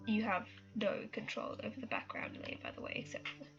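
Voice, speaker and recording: A woman's voice: medium pitch, wildly swooping pitch, a little fast, gravelly, husky, dull audio, soft background music. A young woman with a cold, rambling casually through an art tutorial and apologizing often. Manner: rambling, self-conscious, laughs and apologizes often. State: has a cold. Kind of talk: casual screen-recorded tutorial.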